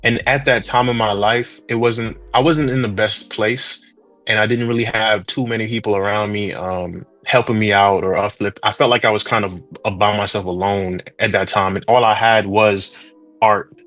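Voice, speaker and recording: A young man from Trinidad, now a 3D artist, talking about his path in a relaxed, rambling interview manner. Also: lowish voice, normal speed, faint music behind. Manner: laid-back, rambling, slangy. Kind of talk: casual interview chat.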